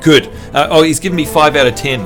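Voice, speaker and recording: A man's voice: fairly high pitch, fast, fairly bright tone, soft background music. A man from New Zealand chatting casually with his live-stream viewers, playful and teasing as he quizzes them. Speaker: game streamer.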